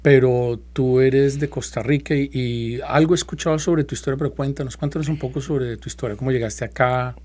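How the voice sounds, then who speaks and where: Mellow-voiced male podcast host. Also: mid-range voice, brisk, few pauses. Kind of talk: casual podcast hosting.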